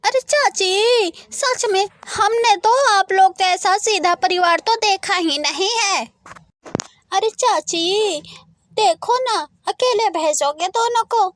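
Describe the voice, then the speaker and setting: A woman's voice: very high-pitched, slow, fairly bright tone, heavily acted. A woman voicing a nosy, meddling female crow in a children's cartoon, wheedling and dramatically alarmed. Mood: alarmed, disapproving. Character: nosy meddling female crow, a scheming older sister-in-law.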